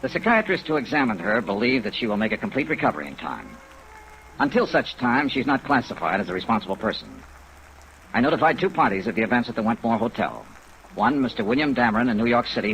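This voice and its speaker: American hard-boiled but kind investigator, forceful voice, swoops around, wry 50s radio drama, rainy old recording. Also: male voice, on the low side, low-quality recording. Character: hard-boiled yet kindly male insurance investigator. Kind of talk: 1950s radio detective drama, narrated expense report. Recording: old 1950s radio recording, rain noise. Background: steady rain sounds.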